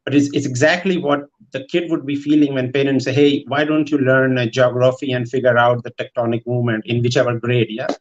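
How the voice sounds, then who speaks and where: A man speaking as a panelist in a thoughtful discussion, in a hesitant, halting way. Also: medium pitch, level tone, dull audio.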